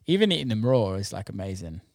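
Low-voiced British man chatting casually. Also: very animated, fast, vocal fry, darkish tone, no room sound, clean studio sound. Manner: enthusiastic, informal, sweary. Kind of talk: casual chat about food.

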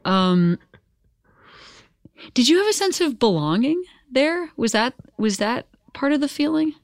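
A woman hosting a podcast, talking in a warm, effusive way with fillers. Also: high-pitched, big pitch swings, unhurried, dry recording, studio quality. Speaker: podcast host. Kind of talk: casual interview chat.

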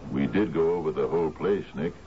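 Deep-voiced, troubled old sea captain. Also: male voice, fairly quick. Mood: somber, wistful. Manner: hesitant, stammering. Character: troubled older sea captain, wistful, easily shaken. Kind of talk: 1970s American radio drama acting. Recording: muffled, noisy 1970s radio broadcast.

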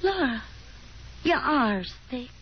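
A fussy Southern mother in an old radio play, her voice high and constantly swooping. She chatters and nags with genteel, effusive insistence. The broadcast is muffled and vintage. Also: lively intonation, slow, slight background noise, exaggerated voice. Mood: fussing, anxious. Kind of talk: 1950s radio drama acting.

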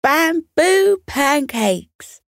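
A woman voicing an eager, cheerful little boy animal in a children's story, with an excitable, drawn-out delivery. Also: high voice, vocal fry, no room sound, studio quality, voice pushed up, heavily acted. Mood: joyful.

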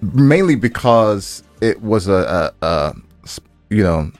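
A man with a deep voice co-hosts a casual podcast. He talks informally and haltingly, circling back as he looks for the right word. He is recorded dry, with light music underneath. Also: big pitch swings, a little mellow, dry recording. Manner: informal, halting, searching for words. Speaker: podcast co-host and audio drama producer.

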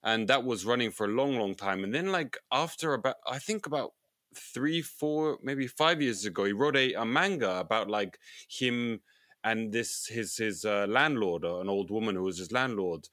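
Tired, low-voiced man chatting, dry sound. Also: normal speed, fairly bright tone, dry recording. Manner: hesitant, repeats words. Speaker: podcast co-host, film distributor. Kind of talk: casual podcast chat.

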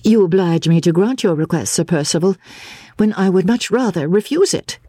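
Woman playing a guarded, proud gentlewoman. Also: on the low side, dry recording, voice pushed up, close to an everyday voice. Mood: reluctant. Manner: brisk, clipped, formal. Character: guarded, proud young English gentlewoman, reluctantly polite. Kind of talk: dramatic audiobook reading.

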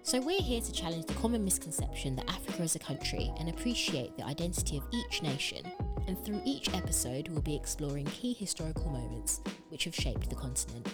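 A woman's voice: sits low, very animated, brisk, over loud music. A woman co-hosting a podcast, opening the show and welcoming a guest with enthusiasm. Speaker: podcast co-host. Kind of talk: podcast intro and interview.

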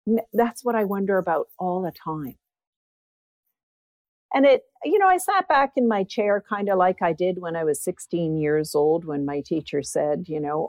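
A woman, a former high school teacher, telling stories as a podcast guest, with a big voice that jumps up in pitch as she gets animated. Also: gravelly, no room sound. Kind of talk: conversational interview storytelling.

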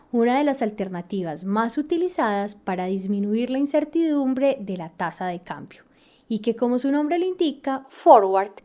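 A woman hosting a podcast, reading scripted educational narration in a measured, explanatory way. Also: a little high, warm tone. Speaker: podcast host.

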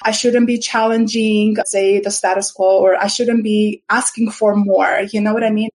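A woman, guesting on a podcast and telling her own story. Her speaking pitch sits at a middle level. She sounds earnest and animated. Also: normal speed. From Armenia. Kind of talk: personal storytelling.

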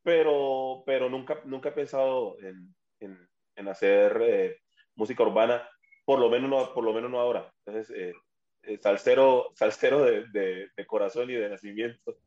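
A man chatting casually as an interview guest, halting and repeating his words. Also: mid-range voice, mellow. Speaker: salsa singer, interview guest. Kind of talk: casual chat. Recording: dull, echoey, lo-fi sound.

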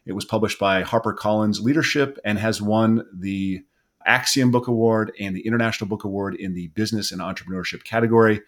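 A man hosting a podcast, asking questions in an easy, friendly way. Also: lowish voice. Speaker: podcast host. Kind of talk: podcast interview.

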